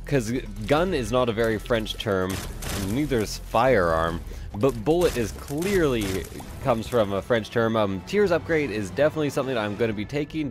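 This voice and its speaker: A congested young man giving casual, rambling let's-play commentary and cursing now and then. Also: medium pitch. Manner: rambling, chatty, swears casually. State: stuffy, congested. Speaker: gameplay commentator.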